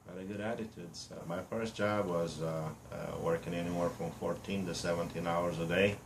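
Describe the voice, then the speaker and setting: A deep-voiced Romanian man in his fifties speaks in blunt, halting English, filling pauses with fillers as he boasts about himself. The room around him is echoey, with faint ambient noise underneath. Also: fairly even intonation, gravelly. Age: 50s. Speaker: reality show applicant. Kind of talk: casual self-introduction to camera.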